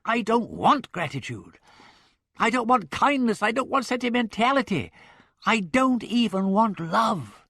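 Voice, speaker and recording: A man voicing a witty gentleman in love, speaking in emphatic, impassioned outbursts. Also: a little high, animated, moderate pace, no echo, studio quality, voice pushed up.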